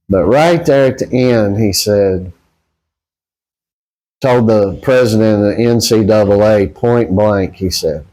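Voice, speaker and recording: Slow, low-pitched Southern American man. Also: darkish tone, low-quality recording. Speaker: boxing channel host. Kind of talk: casual rambling opinion talk to viewers.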